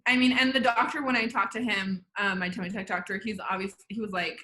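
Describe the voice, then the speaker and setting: A woman's voice: mid-range voice, fairly even intonation, fairly quick, pushed and bright. A woman, a podcast guest chatting casually and rambling, with lots of "like". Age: 20s. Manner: rambling, heavy use of "like". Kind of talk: casual chat.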